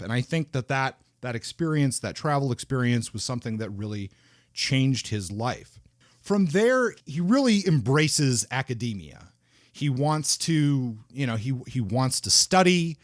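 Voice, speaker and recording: A man's voice: medium pitch, expressive, moderate pace, no echo. Man talking books on a podcast, dry mic. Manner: unscripted, with fillers and restarts. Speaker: podcast host. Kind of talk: conversational podcast talk about books.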